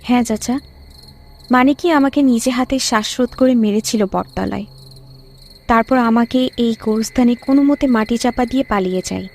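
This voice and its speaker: A woman's voice: fairly high pitch, moderate pace, darkish tone, slight background noise, lightly acted. A woman playing the eerie ghost of a young village bride in a horror cartoon story, plaintive and dramatic. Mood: sorrowful.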